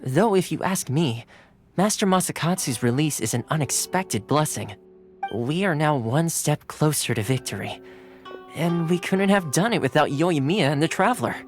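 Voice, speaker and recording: A man's voice: a little high, lively intonation, moderate pace, close to an everyday voice. An actor playing a cheerful young male retainer in a video game, easygoing and polite, with a playfully boastful streak. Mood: amiable. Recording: game audio with soft music behind.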